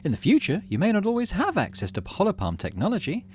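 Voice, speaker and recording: A man voicing a brisk female schoolteacher in a game story, addressing a class in an instructive manner. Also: high voice, huge pitch swings, darkish tone, slight background noise, voice pushed up. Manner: clear, instructive classroom delivery. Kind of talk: character reading in game story.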